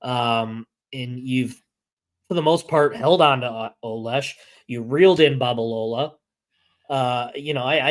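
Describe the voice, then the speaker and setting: A man co-hosting a casual sports podcast, hoarse and losing his voice after being sick, talking in halting bursts full of restarts. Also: a little high, fairly bright tone. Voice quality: halting, many restarts and fillers. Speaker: podcast co-host.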